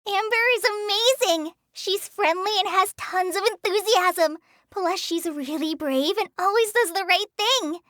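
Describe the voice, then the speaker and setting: A woman's voice: very high-pitched, no room sound, clean studio sound. A woman voicing a shy, earnest teenage girl in a video game, hesitant and apologetic in the way she talks. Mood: admiring, wistful. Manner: hesitant, apologetic, soft-hearted, halting with stammers. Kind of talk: video game character voice lines.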